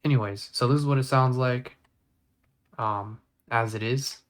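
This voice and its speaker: A man's voice: medium pitch, unhurried. A man giving an informal, rambling tutorial in a casual speaking style. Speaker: video editing tutorial presenter.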